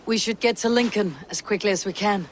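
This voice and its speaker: Tough Viking woman, voiced by an actress: blunt, steady delivery over game score. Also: mid-range voice, a little fast, a little hiss or hum, natural voice. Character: tough female Viking warrior, calm and blunt. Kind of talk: video game cutscene acting. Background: orchestral game music.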